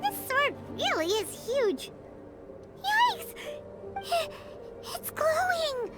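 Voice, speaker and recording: A woman voicing a tiny, childlike, excitable fairy companion in a video game, squeaky and exclamatory. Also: drawn-out words, over loud music, exaggerated voice. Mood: amazed.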